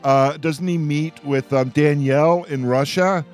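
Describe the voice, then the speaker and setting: A man's voice: a little high, fairly even intonation, unhurried, soft background music. A middle-aged or older man moderating a casual radio discussion, often repeating words as he searches for the next one.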